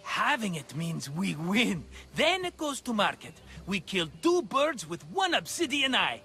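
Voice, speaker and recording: An actor playing a scheming, flattering, tight-fisted merchant in a video game, with a theatrical, wheedling manner. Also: male voice, sits high, unhurried, heavily acted. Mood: scheming, eager. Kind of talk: video game cutscene acting.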